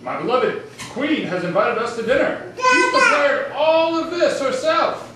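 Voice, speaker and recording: A lovestruck, indulgent puppet king acted by a man, very high-pitched and swooping. He gushes in courtly style in an amateur puppet show, heard from afar in an echoey room. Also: big pitch swings, measured pace, heavily acted. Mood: excited. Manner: gushing, courtly. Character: doting, indulgent puppet king in love with his queen. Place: small home gathering, echoey room, far camera. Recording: echoey distant noisy home video.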